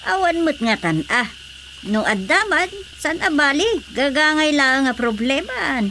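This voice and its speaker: Lady in a radio drama, really slow, sighing, slightly high. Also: expressive, bright, forceful tone, slight background noise.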